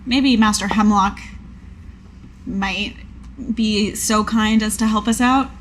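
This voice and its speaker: A woman's voice: mid-range voice, fairly even intonation, slow, a little hiss or hum, rough recording. A woman chatting casually as a player in a tabletop game.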